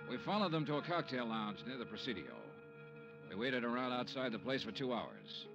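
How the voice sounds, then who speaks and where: An actor playing a cool, wry hard-boiled investigator, terse and dry, in a vintage radio detective drama. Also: male voice, mid-range voice, very animated, over loud music. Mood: cool narration. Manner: terse, dry, unhurried authority. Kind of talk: 1950s radio detective drama, narration and dialogue. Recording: dull vintage radio recording.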